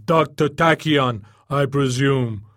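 Guy doing an eager armored superhero, loud n pushy, pitched up high, earnest, audiobook style. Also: animated, moderate pace, no echo, studio quality. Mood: booming, delighted. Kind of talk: audiobook character acting.

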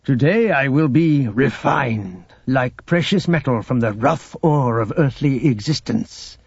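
A man voicing an imperious cult lord, grandiose and fervent, in slow declamatory oratory. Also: medium pitch, lively intonation, slightly rough, no room sound, highs cut off. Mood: grandiose, triumphant.

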